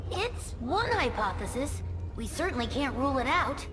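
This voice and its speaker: A woman's voice: high-pitched, big pitch swings, normal speed, over music. A woman voicing an earnest, brainy young boy fox sidekick in a video game cutscene, precise and sincere. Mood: thoughtful.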